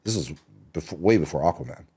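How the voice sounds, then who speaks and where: A man's voice: rumbling low, expressive, fast, dark tone, husky. A middle-aged man from Seattle, a screenwriter and podcast guest, telling his story in a loose, rambling way with lots of fillers and restarts. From Seattle, Washington. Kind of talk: relaxed interview storytelling.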